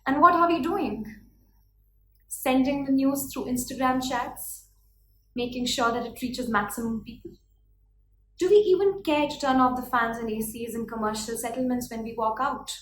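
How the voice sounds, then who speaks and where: An earnest young woman with an Indian accent delivers a prepared speech, declaiming her points and posing rhetorical questions. Her voice sits a little high. The room is echoey, with faint noise behind her. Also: moderate pace. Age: 20s. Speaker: speaker.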